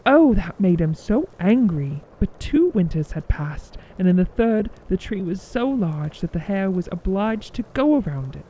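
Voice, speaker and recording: A woman's voice: mid-range voice, big pitch swings, unhurried, hardly any pauses, dark tone, slight background noise, rough recording. A woman narrating a scripted children's story as a storyteller.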